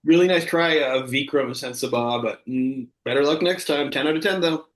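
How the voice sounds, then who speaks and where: A man's voice: medium pitch, normal speed. Mock-earnest male co-host in echoey room. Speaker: comedy show co-host. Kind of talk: casual comic banter. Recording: roomy echo, faint music.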